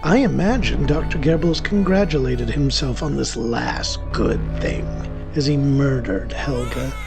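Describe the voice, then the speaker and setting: A man playing a guilt-ridden warlock who confesses to killing, in a theatrical, sardonic first-person horror monologue with deliberate pauses. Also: mid-range voice, creaky. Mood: rueful. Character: male warlock killer, wry, self-deluding, guilt-ridden confessor. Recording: music under the voice.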